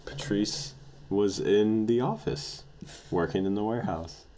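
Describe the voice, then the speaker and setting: Deep, laid-back man in his twenties. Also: unhurried, dark tone. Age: 20s. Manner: laid-back, playful, laughs a lot. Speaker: podcast co-host. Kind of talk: casual chat.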